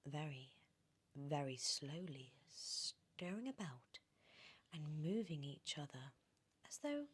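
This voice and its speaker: A British woman reading a storybook in ASMR style, drifting between soft whispers and expressive, animated speech. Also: deep voice, breathy edge. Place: on a sofa indoors.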